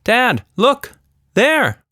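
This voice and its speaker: A man voicing a plucky, high-pitched young girl. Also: extremely slow, no echo, studio quality, full character voice. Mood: earnest. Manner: earnest, expressive child-like delivery. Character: plucky, curious young girl in a children's story.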